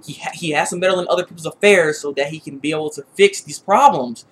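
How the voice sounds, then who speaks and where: A man giving a casual, rambling episode review in an animated, conversational way, often repeating his phrases. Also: fairly high pitch, quick pace, a little hiss or hum. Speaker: YouTube reviewer.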